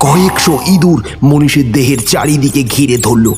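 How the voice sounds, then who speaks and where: Man narrating horror with reverb. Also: fairly high pitch. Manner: measured, suspenseful. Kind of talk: dramatic scripted narration. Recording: reverberant studio with clear music. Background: music.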